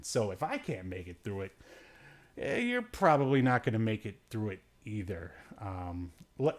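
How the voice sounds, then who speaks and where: Low-pitched man, rambling podcast host. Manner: rambling, dryly joking. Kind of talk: casual solo livestream chat about obscure media.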